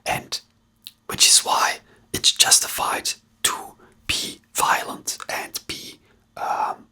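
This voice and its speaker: An ASMR host who speaks in a breathy whisper with a non-native English accent, rambling hesitantly and searching for words while giving commentary. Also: slow, bright, forceful tone. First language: not English.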